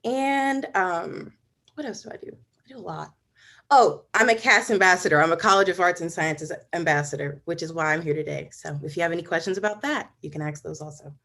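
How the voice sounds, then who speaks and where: A woman's voice: lowish voice, a little fast, a bit bright. A young woman, a student resident assistant, introducing herself in a chatty, rambling way over a video call. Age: young adult. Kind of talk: self-introduction.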